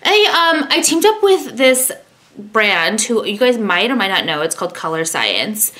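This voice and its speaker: A woman's voice: on the high side, very animated, measured pace, bright, forceful tone. A woman in her thirties chatting casually to camera in her bedroom, rambling and self-deprecating as she shows a skincare product. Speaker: vlogger. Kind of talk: casual vlog chat with product talk. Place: bedroom at home, indoors. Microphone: camera at arm's length.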